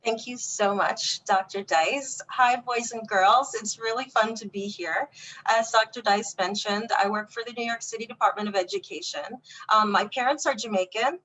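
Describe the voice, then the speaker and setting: An American woman addresses children as a guest reader. Her voice sits at a middle pitch, and she speaks in a conversational way over an online call. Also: normal speed, fairly bright tone, rough recording. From United States.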